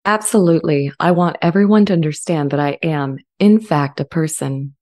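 Earnest AI character acted by a woman, low mellow register, measured and articulate, scripted drama. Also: natural voice. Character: earnest, friendly, self-aware artificial intelligence language model. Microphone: studio condenser mic with pop filter, close.

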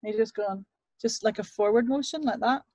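Lively British woman coaching voice. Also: fairly high pitch, brisk. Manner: lively, demonstrative. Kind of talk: teaching vocal exercises. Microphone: studio mic on video call.

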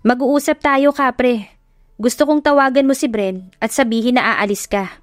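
A woman voicing a feisty, hot-tempered young woman who scolds and shouts in a sharp, quick voice. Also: a little high, dry recording, studio quality, faint music behind, voice pushed up, natural voice. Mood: irritated.

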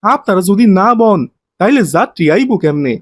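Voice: medium pitch, animated, normal speed, close to an everyday voice. An actor playing a hardworking, aggrieved ten-year-old boy minibus helper in a cartoon, with colloquial Bangladeshi speech and a plain-spoken, complaining manner. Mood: calling out, urging. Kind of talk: cartoon voice acting.

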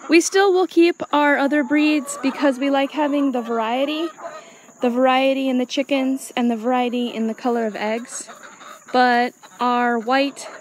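A woman casually narrating her farm chores and thinking aloud as she goes, in an unscripted vlog style. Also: high-pitched. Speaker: small farm owner and vlogger. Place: outdoors on a farm. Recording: outdoor noise, insects and animal sounds.

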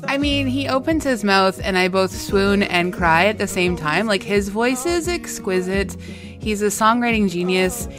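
A woman's voice: medium pitch, expressive, unhurried, over music. A woman on a radio panel, gushing emotionally about a singer she loves. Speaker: guest music critic.

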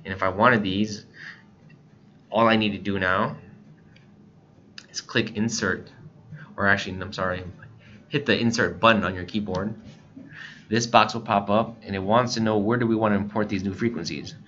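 Low-voiced American man, slightly muffled recording. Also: fairly even intonation, moderate pace, pauses often, pushed and bright. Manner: informal, step-by-step explaining. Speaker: instructor, scanner shop staff. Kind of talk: casual software tutorial narration. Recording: muffled lo-fi voice-over, faint background noise.

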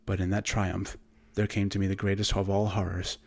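Male narrator for suspense and horror fiction: slightly deep, measured delivery, formal literary reading. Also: little pitch movement, vocal fry. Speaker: audiobook narrator and voice actor. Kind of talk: scripted narration of a horror story.

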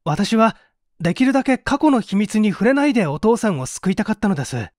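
A man's voice: sits high, big pitch swings, fairly quick, a little mellow, dry recording, clean studio sound, pitched above the performer's own voice. A man voicing an earnest, dutiful young man who speaks politely and formally in a dramatized story reading. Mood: calm, explaining. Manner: formal, earnest, emphatic phrasing.

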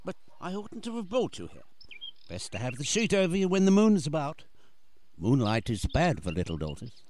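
A man's voice: a little high, huge pitch swings, moderate pace, darkish tone. A man playing a fond, whimsical painter father in a radio play, mock-grand and tender. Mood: fond teasing.

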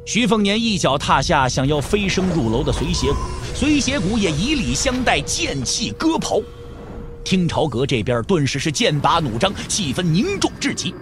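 A man's voice: on the high side, sing-song, moderate pace, over music. A man narrating a martial arts novel for an audio drama in a dramatic storytelling style.

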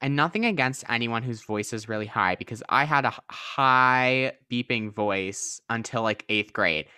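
A teenage boy hosting a podcast, chatting casually with a friend in a sassy, animated way. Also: mid-range voice, moderate pace, smooth, no room sound, clean studio sound. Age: teens. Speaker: podcast host. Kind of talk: casual chat.

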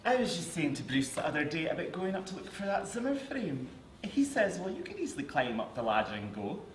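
A young man with a Scottish accent performing a multi-voiced verbatim spoken word piece, switching between overheard voices on a dark theatre stage. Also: on the high side, huge pitch swings, fairly quick, echoey room, slight background noise.

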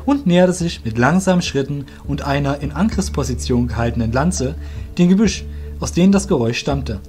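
A man's voice: on the high side, animated, normal speed, darkish tone. A man narrating a fantasy audio drama from a script as the storyteller. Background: faint music.

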